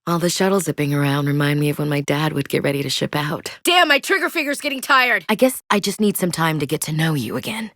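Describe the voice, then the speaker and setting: Blunt game soldier woman, low voice, lively delivery, dry studio sound. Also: fast, few pauses, no echo. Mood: combat calls. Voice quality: plainspoken and direct. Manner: shouts terse orders in combat. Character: tough young female human soldier, blunt and loyal. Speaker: squad soldier. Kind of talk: video game voice acting. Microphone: close studio mic.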